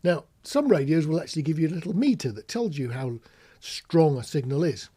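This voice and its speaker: British man teaching, high-pitched and husky. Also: lively intonation, fairly quick, mellow. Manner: explanatory, anecdotal, plain-spoken. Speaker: marine radio instructor. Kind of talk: informal spoken tutorial with practical demonstrations.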